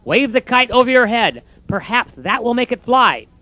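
A man's voice: very high voice, very animated, moderate pace, sharp tone, no echo, muffled recording, pitched above the performer's own voice, heavily acted. A man voicing a patient, encouraging frog in a storybook, with an upbeat, coaxing manner.

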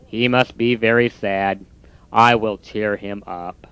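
Storybook toad, glum and defeatist, played by a man, low and soft. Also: steady tone, takes it slowly, clear, resonant tone, dry recording, highs cut off. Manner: mopey, sighing. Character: gloomy, easily discouraged male toad.

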